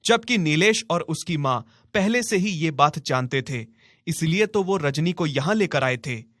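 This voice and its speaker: A man's voice: fairly high pitch, lively intonation, a little slow, fairly bright tone, no echo, clean studio sound. A man narrating a scripted moral story as a storyteller.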